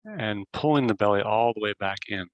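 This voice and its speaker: A man leading a guided meditation as host, giving simple instructions in short phrases with long pauses. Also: on the low side, dry recording, dull audio.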